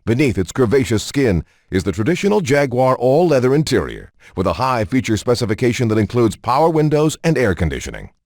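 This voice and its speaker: A man reading scripted documentary-style narration for a video game in a measured, polished promotional manner. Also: medium pitch.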